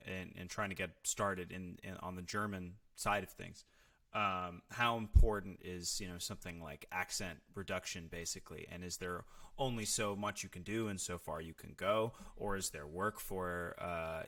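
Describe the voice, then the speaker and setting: A man with a low, forward-sounding voice chats casually as an actor seeking advice. He builds his questions hesitantly, circling toward the point in several steps. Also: pushed and bright. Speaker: actor asking for career advice. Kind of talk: casual question-and-answer chat.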